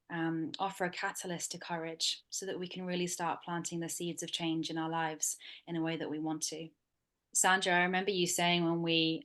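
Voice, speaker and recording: Woman host on a video call, low flat soft voice, lots of ums, calm moderator vibe. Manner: soft, measured, with frequent ums. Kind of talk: gentle reflective moderating of an online panel.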